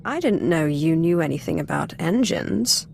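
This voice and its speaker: A woman playing a commanding Sith lord in a video game, poised and measured, teasing with dry flirtation. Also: deep voice, animated, vocal fry, slight background noise, exaggerated voice. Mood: curious. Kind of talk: video game character acting.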